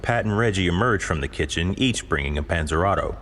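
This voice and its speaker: A man's voice: low voice, expressive, a little fast, noisy background. A man reading scripted narration for an audio drama.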